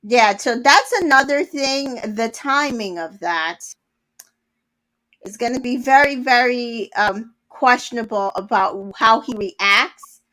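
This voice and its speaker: A woman's voice: fairly high pitch, slow, bright, forceful tone, low-quality recording. A woman co-hosting a casual reality-show recap, starting hesitantly and then reasoning her points through. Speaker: podcast co-host.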